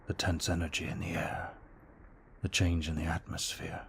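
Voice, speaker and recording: A man playing a courteous, protective young vampire aristocrat in an ASMR audio roleplay, speaking formally in a hushed, measured way. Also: sits low, little pitch movement, creaky, breathy edge, full character voice. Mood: regretful, earnest. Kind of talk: intimate ASMR roleplay acting.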